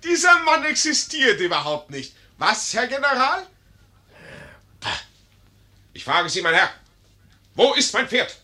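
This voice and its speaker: Pompous, excitable young lieutenant. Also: male voice, extremely high pitch, unhurried, pauses often, full character voice. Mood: agitated. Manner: pompous, self-important. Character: boastful, dim-witted young aristocratic cavalry lieutenant. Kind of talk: 1950s German radio play acting.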